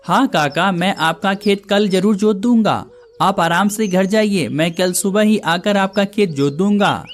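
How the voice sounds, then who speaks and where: A man's voice: sits high, measured pace, soft background music. A man voicing the brothers and villagers of a cartoon story in plain conversational dialogue.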